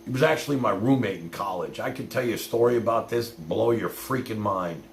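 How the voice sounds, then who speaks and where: Gravelly middle-aged man, rambling and blunt. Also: lowish voice, fairly quick. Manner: blunt, rambling, peppered with swearing and "you know". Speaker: livestream host. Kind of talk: casual chat, personal storytelling to live viewers. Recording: roomy echo with faint hum and noise.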